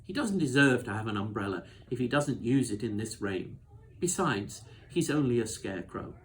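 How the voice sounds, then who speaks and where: A man's voice: mid-range voice, expressive, moderate pace, slight background noise, lightly acted. A man voicing a blunt, practical, stubborn young boy in a children's storybook, with a matter-of-fact manner. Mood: dismissive.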